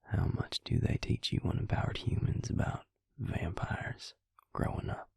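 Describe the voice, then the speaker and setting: A man playing a devious, smug male vampire in an intimate audio roleplay, taunting his victim slowly in a breathy, gravelly whisper. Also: darkish tone, no room sound, clean studio sound, close to an everyday voice. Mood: sly, taunting. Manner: slow, taunting, self-satisfied.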